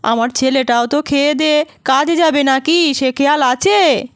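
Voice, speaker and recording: A woman's voice: sits high, measured pace. A woman voicing a scornful older village mother-in-law in a cartoon, nagging and taunting with sarcastic questions. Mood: impatient nagging. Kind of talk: cartoon voice acting.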